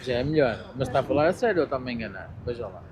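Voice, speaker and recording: A man's voice: mid-range voice, lively intonation, very fast. A man with a European Portuguese accent, bargaining teasingly over a tour price outdoors. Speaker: local passerby asking about fares. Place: outdoors on a Lisbon street. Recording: street noise behind.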